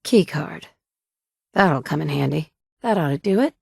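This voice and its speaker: A woman's voice: low voice, animated, measured pace, creaky, husky, no room sound, clean studio sound, exaggerated voice. An actress voicing a tough, blunt woman who survives the wasteland and leads others, delivering short game lines in a terse, firm way. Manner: terse and firm, often curt. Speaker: player character. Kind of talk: video game dialogue lines. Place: studio booth.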